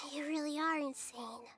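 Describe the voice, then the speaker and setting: High-voiced little girl goddess. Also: fairly even intonation, takes it slowly, fairly bright tone. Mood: resigned. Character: small gentle child-like goddess girl, wise, composed. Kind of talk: anime-style video game voice acting. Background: faint game music.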